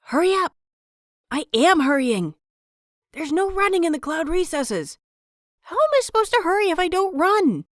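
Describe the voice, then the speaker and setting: Woman voicing hurried, high-pitched bickering young children. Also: sing-song, no room sound, clean studio sound. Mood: urgent, bickering. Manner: urgent, furious whispering. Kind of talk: audiobook character dialogue.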